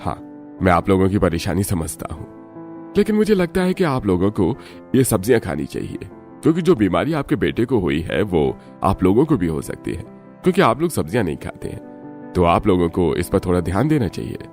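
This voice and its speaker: A man's voice: deep voice, big pitch swings, a little fast, darkish tone, faint music behind. A man playing a concerned doctor in a cartoon story, explaining and advising. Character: concerned male doctor advising a poor family. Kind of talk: Hindi cartoon story voice acting.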